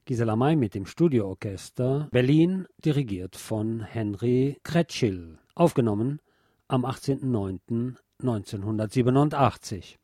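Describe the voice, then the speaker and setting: A man's voice: mid-range voice, expressive, unhurried, mellow, no echo, studio quality. A man working as a radio host, reading out quotations and short commentary as he presents music.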